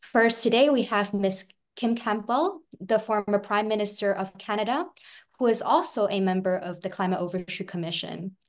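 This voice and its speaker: A young woman from Hong Kong moderates a panel on a video call. She reads her prepared introduction at a measured pace. Her voice comes through a webcam mic. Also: medium pitch. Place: indoors at home, video call.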